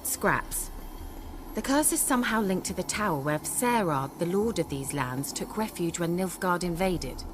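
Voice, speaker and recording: A woman's voice: lowish voice, lively intonation, unhurried, music in the background. An actress playing a poised, sly sorceress in a fantasy game, refined and teasing as she coaxes. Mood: coaxing.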